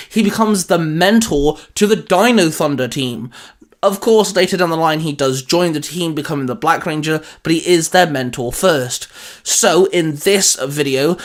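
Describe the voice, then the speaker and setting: A man's voice: a little high, fairly bright tone. A man hosting a fan discussion show in an enthusiastic, emphatic presenting manner. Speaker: host. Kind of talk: scripted intro, then casual fan discussion.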